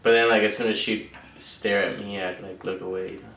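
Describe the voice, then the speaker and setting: A young man from San Diego with a gravelly voice trades teasing jokes in a casual Q&A, laid-back and a bit sick. He sits far from the mic in a room with a clear echo. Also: fairly low pitch, level tone, a little fast, a bit bright. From San Diego, USA. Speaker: vlog co-host. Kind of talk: casual Q&A chat.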